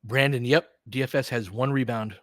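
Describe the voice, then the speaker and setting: A man's voice: medium pitch, steady tone, normal speed, fairly bright tone, no echo, studio quality. A man hosting a casual live sports talk stream, thinking aloud and posing rhetorical questions to make his points. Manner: thinks aloud, poses rhetorical questions, stresses points with check-ins like "right?". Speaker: host of a live basketball audio play-by-play and discussion stream. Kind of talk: casual live sports analysis, replying to chat.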